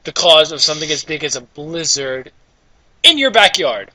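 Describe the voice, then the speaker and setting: A young man with a very bright, fairly high voice. He chats casually, dropping dry, nitpicking jokes as a co-host, with lively pitch movement. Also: moderate pace, rough recording. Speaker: co-host commenting on the reading. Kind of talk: casual joking banter.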